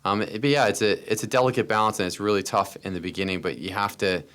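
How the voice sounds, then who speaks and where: A man's voice: on the low side, fast, a bit bright. An American man telling personal stories as a podcast interview guest, earnest and repeating phrases for emphasis. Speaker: interview guest, startup founder.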